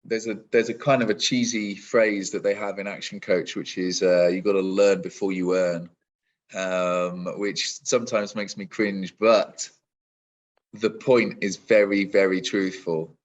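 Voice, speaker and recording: A man in his forties with a fairly low voice talks about his work in a loose, rambling way, often restarting his sentences. The audio is dull and lo-fi, as if from a video call. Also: flat delivery, a little slow. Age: 40s. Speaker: business coach. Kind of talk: casual interview answers.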